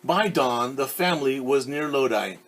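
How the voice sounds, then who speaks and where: A man's voice: mid-range voice, lively intonation, fairly bright tone. A male teacher reading a picture book aloud to children, stopping to explain words and ask them questions. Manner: pauses to explain words and quiz young listeners.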